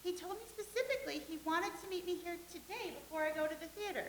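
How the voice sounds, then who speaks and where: A woman's voice: extremely high pitch, fast, fairly bright tone, a little hiss or hum. A woman playing a vain, starry-eyed young stage actress who gushes naively in a staged play reading. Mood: dreamy. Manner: gushing and naive, with giggles. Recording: echoey room.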